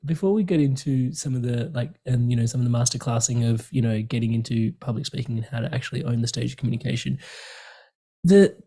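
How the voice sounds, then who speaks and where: A man's voice: mid-range voice, fairly even intonation, quick pace, warm tone, low-quality recording. A man hosting an interview podcast, asking questions in a casual, easy-going way, laughing often and dropping "like" and "bro" as he talks. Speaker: podcast host.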